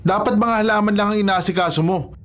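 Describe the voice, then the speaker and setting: An actor with a Filipino accent playing an arrogant, jealous rich fiancé, haughty and threatening, in a radio drama. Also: male voice, high-pitched, steady tone, quick pace, fairly bright tone. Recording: music bed under the voice.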